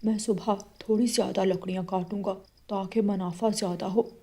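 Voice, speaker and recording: A woman's voice: mid-range voice, fairly even intonation, normal speed, warm tone, close to an everyday voice. A woman voicing an earnest, hardworking father sparrow in a cartoon story, with a plaintive manner. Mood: tender, determined.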